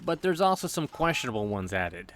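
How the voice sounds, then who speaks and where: A man's voice: medium pitch, big pitch swings, brisk. A man narrating a scripted video game review with playful, joking asides. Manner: playful, jokey. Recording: background music under voice. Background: music.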